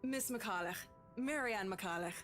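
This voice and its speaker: An actress playing a sophisticated, commanding interior designer with an Irish or Scottish accent, in a visual novel over music. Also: female voice, mid-range voice, measured pace, a bit bright, close to an everyday voice. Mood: cool, brisk. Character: sophisticated, intimidating, detached woman interior designer. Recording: music behind the voice.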